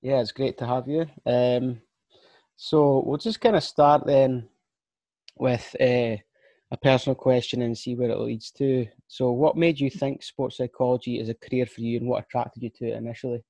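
A man's voice: medium pitch, level tone, moderate pace, warm tone, dry recording. A man hosting a podcast, introducing his guest and asking questions with some ums and slips. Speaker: podcast host. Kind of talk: reading a guest introduction, then interviewing.

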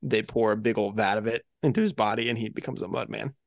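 A man's voice: fairly low pitch, quick pace, creaky, dry recording, studio quality. A man co-hosting a podcast, talking casually and conversationally as he reviews episodes, with many filler phrases. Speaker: podcast co-host.

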